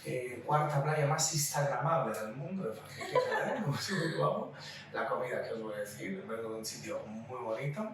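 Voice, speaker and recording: A man's voice: medium pitch, animated, moderate pace, a crowd in the background. Talkative Italian man in an echoey room. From Calabria, southern Italy. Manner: talkative, jokey. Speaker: podcast co-host. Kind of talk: casual chat. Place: living room at home. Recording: echoey room, distant mic, clear noise.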